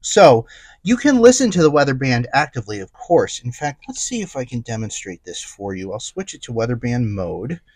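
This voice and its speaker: A man's voice: medium pitch, very animated, moderate pace, dry recording. A man giving a casual, unscripted hands-on gadget review, explaining things conversationally and thinking aloud. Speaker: tech gadget reviewer and host.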